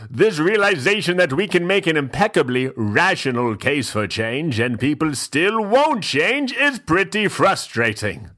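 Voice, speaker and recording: A man playing a pompous, fussy, self-indulgent gentleman host who gives mock-literary dramatic readings with drawn-out, theatrical pauses. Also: medium pitch. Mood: earnest, grandiose.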